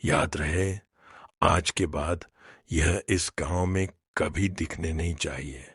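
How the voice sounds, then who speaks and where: A man acts a stern village elder in a cartoon tale. He speaks very low and slowly, with a husky, commanding voice. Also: fairly even intonation, a bit bright, no room sound, clean studio sound, exaggerated voice. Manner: slow, commanding.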